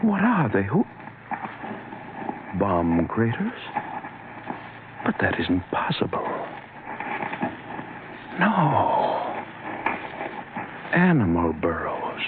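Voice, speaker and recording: An actor playing a cold, domineering scientist husband in an old-time radio horror drama, speaking with clipped contempt. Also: male voice, medium pitch. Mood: uneasy, intent. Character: cold, selfish scientist husband, scornful and irritable. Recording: noisy, tinny old radio recording.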